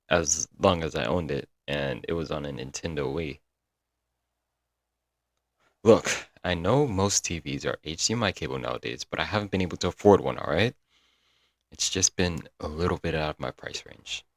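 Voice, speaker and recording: Man acting as deep-voiced lovesick broke kidnapper. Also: no echo. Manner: coaxing, rambling, pet names, nervous chuckles. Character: lovesick broke young male yandere kidnapper, doting, apologetic. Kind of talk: comedic roleplay audio drama, intimate monologue to listener.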